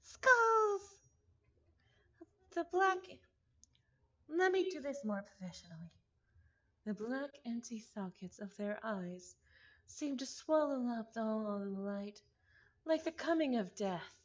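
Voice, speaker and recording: A woman's voice: a little high, big pitch swings, slow, pauses often, dull audio. A woman reading a visual novel aloud in a playful, theatrical manner, breaking off into chatty asides. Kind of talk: visual novel read-along with casual reactions.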